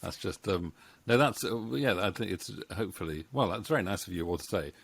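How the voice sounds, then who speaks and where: A middle-aged man with a low voice hosts a relaxed interview. He feels his way through sentences in a rambling, hesitant manner, close on a studio mic. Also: brisk, creaky. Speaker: interview host and co-writer. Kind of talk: casual video-call interview. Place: book-lined home room. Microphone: studio microphone on boom arm, close.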